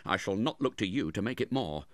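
A man's voice: lowish voice, lively intonation, brisk, dry recording, close to an everyday voice. A man voicing a proud, earnest nineteen-year-old in a storybook, blunt and a little haughty. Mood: proud, angry.

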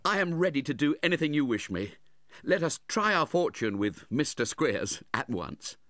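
A man's voice: lowish voice, big pitch swings, brisk, no echo, clean studio sound, voice pushed up. A man voicing a proud, earnest nineteen-year-old in a storybook, blunt and a little haughty. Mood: eager.

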